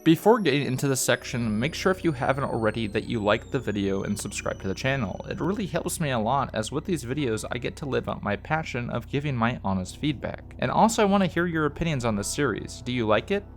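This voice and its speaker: A man's voice: medium pitch, lively intonation, quick pace, few pauses. A man narrating a scripted review as the host, conversational and opinionated, with casual, enthusiastic asides. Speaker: host of a video review series. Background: background music.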